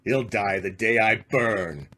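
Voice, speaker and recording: An actor playing a vengeful, menacing condemned gangster in a radio drama, with tough, slangy delivery. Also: male voice, lowish voice, takes it slowly, pushed and bright, a little hiss or hum. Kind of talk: old-time radio drama acting.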